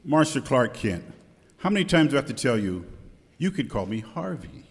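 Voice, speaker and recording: A man's voice: medium pitch, very animated, moderate pace. A man playing a fond, smitten boss in an amateur live radio skit. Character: smitten male boss, fond and long-suffering. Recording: echoey hall with background noise.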